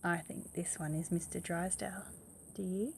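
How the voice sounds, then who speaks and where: A woman's voice: lowish voice, fairly even intonation, normal speed, creaky, a little hiss or hum. A woman reading a picture book aloud as a bedtime story, stressing words expressively and putting questions to the young listener.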